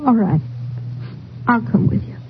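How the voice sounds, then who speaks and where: Frightened young female witness, high voice, hesitant and emotional, vintage radio drama on a dull old recording. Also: measured pace, gravelly. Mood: fearful, pleading. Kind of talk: 1950s radio acting. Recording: muffled, noisy 1950s radio recording.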